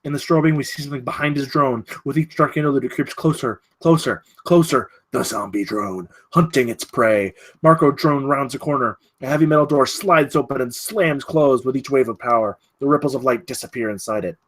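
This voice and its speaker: A man narrates screenplay action in short, clipped phrases. His pitch sits in an average male range, and he gives the stage directions a dramatic push. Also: fairly quick, few pauses, fairly bright tone. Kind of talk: scripted narration at a screenplay table read.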